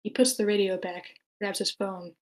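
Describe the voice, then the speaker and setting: Woman narrating screenplay action, mid-pitched. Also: steady tone, moderate pace. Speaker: narrator reading stage directions.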